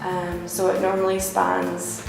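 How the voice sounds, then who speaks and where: Northern Irish female teacher, interview testimonial. Strong fry, very reverberant room. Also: fairly low pitch, completely flat delivery, takes it slowly. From Northern Ireland. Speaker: English teacher. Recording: very echoey room, music over it. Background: music.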